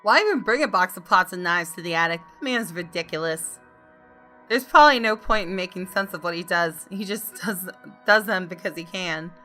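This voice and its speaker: A woman reading a visual novel aloud as expressive first-person narration. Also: lowish voice, normal speed, soft background music. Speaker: gaming video host reading aloud.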